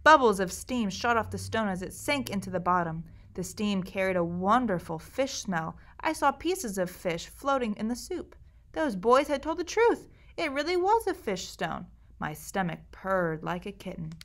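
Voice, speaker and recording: A woman teacher reading a children's story aloud, expressive storybook reading. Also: medium pitch, moderate pace.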